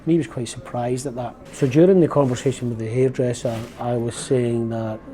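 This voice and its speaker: A man's voice: mid-range voice, fairly even intonation, a little fast, dark tone, rough recording. A Glaswegian man in his fifties, rambling in a casual, reflective vlog, often correcting himself as he goes. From Scotland. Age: 50s. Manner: rambling, chatty, self-correcting. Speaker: vlogger. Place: outdoors on a windy open-top bus tour.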